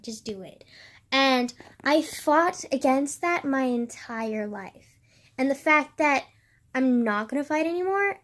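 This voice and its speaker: A young woman vlogger with a soft voice, talking in a rambling, hesitant way full of filler words. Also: high voice. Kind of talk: personal reflective vlog monologue.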